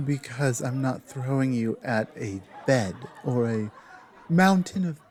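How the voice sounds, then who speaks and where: Casting: male actor as hulking minotaur tavern security for ASMR roleplay. Confident, menacing yet amused, unhurried delivery, very animated intonation. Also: mid-range voice, natural voice. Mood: amused warning. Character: huge, stern minotaur tavern security guard, confident and teasing. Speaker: audio roleplay performer. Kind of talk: ASMR roleplay, in-character monologue.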